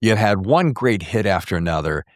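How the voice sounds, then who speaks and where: A man's voice: lowish voice, expressive, normal speed, no echo, studio quality. A man hosting a podcast, interviewing in a chatty way with emphatic, showy phrasing. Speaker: podcast host.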